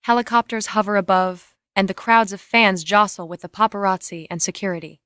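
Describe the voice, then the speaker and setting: A woman's voice: medium pitch, a little fast, a bit bright, smooth, no room sound. Female script narrator, dry sound. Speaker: narrator reading screen directions. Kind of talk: scripted narration of stage and camera directions.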